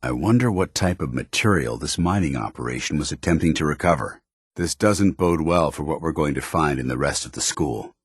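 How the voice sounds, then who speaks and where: A stern soldier of principle, with an extremely low voice that has a husky edge. He speaks formally and earnestly, like a commanding officer loyal to his order. It is video game companion dialogue, recorded dry and close. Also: animated, a little fast, no echo, full character voice. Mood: watchful, businesslike.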